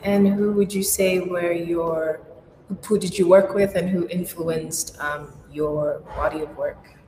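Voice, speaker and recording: A woman's voice: lowish voice, fairly even intonation, warm tone. Woman interviewer in an echoey gallery. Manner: brisk, encouraging prompts. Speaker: interviewer, gallery development manager. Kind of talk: conversational interview. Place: gallery showroom, indoors. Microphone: video call. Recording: roomy echo with faint noise.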